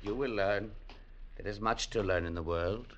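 An actor playing a stern, imperious blind villager, declaiming in mid-century radio drama style. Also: male voice, fairly low pitch, normal speed, a bit bright, lo-fi sound, natural voice. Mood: stern, scolding. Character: stern, commanding blind villager, scornful of sight. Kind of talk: 1940s-50s radio drama acting. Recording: old radio drama broadcast.

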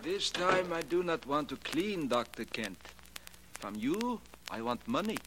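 A man's voice: fairly high pitch, animated, measured pace. An actor playing a foreign observatory handyman in a 1940s radio drama, speaking stilted broken English with a foreign accent. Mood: sly, threatening. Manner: stilted, broken English with simple present tense. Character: foreign observatory handyman who believes in astrology. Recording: noisy, clicky 1940s radio recording.

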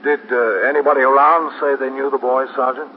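An actor playing a steady police captain in a 1950s radio drama, with a measured, official, deadpan delivery. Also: male voice, medium pitch, close to an everyday voice. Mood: sober, concerned. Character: steady, sober police captain narrating his own cases. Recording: dull, hissy 1950s radio broadcast.

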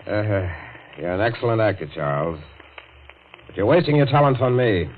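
An actor playing a sly, smooth blackmailer with an insinuating, mock-polite manner in a 1940s radio thriller. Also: male voice, fairly low pitch, very animated, moderate pace, narrow, tinny audio. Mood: sly, needling. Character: sly, smooth male blackmailer toying with his victim. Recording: thin, hissy old radio recording.

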